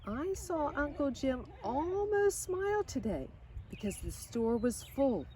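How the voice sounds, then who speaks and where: A woman reading a children's picture book aloud in an expressive way, now and then speaking directly to her listeners. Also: high-pitched. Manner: expressive, pausing for short asides to listeners. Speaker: storybook reader.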